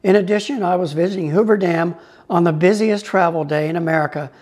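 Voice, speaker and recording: Travel vlogger reading a scripted voiceover, middle pitch, informative, talks to folks watching. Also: brisk, darkish tone. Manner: informative, addresses viewers as folks. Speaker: travel vlogger narrating his own tour.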